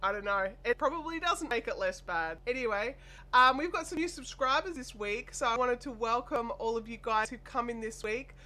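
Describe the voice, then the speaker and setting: An Australian woman hosting a casual craft live stream, chatty and apologetic, her voice made deeper and nasal by a chest cold and sore throat. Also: lively intonation, normal speed, bright, forceful tone. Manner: chatty, apologetic, reads viewer comments aloud. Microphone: desk microphone. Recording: faint air conditioner hum.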